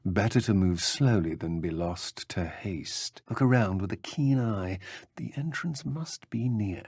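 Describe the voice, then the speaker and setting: An actor playing a watchful male dark wizard guard in a video game, with a husky voice. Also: on the low side, animated, unhurried, no echo, clean studio sound, heavily acted. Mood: cautious.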